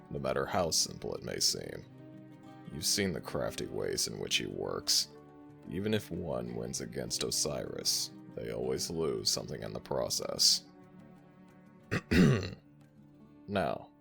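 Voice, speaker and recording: An ancient jackal god, as a man acts him out, speaking in an extremely low, gravelly rumble. He talks slowly and lets his pitch roll up and down as he teases the listener affectionately. Soft guitar music plays faintly underneath. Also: full character voice. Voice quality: very deep gravelly voice, slow and soothing. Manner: affectionate, playfully self-mocking. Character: ancient jackal god, gentle, teasing, fond. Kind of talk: ASMR audio roleplay.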